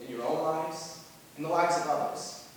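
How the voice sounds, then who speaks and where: A young man performing a dramatic monologue as an anxious twenty-year-old looking back on depression, halting and earnest, with theatrical swings in volume. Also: a little high, close to an everyday voice. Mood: wry, reflective. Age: young adult. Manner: halting, earnest, swinging from quiet to loud outbursts. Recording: very echoey hall from far off, noisy.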